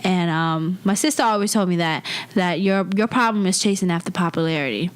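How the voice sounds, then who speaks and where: A woman's voice: lowish voice, brisk, clear, resonant tone. A woman in her twenties, born in Vietnam, telling her life story in an interview with candid, blunt talk and frequent laughs. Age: 20s. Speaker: comedian guest.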